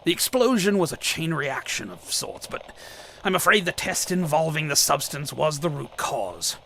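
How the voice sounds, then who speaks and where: An actor playing a nervous, fussy scientist informant in a radio drama, stammering and formally precise. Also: male voice, on the high side, lively intonation, moderate pace, fairly bright tone, slight background noise. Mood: earnest, explaining. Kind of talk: old-time radio drama acting.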